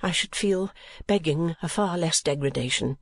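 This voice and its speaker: Storybook figure: earnest young woman, soft and halting, read by a woman narrator, dry close sound. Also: low voice, no room sound.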